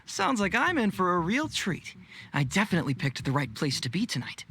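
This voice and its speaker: Lively, low-key investigator. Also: female voice, fairly quick, slightly rough, full character voice. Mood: teasing. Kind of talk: video game voice acting.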